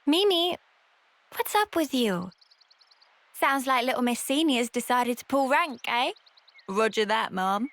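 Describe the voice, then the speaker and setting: A woman's voice: on the high side, animated, measured pace, pauses often, fairly bright tone, no room sound. A woman acting a cocky, laid-back young fighter in a game cutscene, slangy and frank. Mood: teasing. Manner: casual, slangy, teasing. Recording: music behind the voice.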